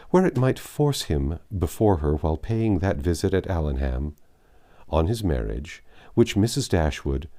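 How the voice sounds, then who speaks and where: A man reading a classic novel aloud as an audiobook narrator, in an expressive literary manner. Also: deep voice.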